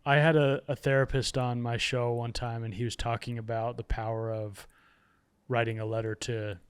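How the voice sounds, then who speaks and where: Male podcast guest with a smooth, resonant voice and a mid-range pitch. Reflective and candid, he pokes fun at himself. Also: level tone, moderate pace. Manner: candid, self-deprecating humor. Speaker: podcast guest, author and podcast host. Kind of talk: reflective casual conversation.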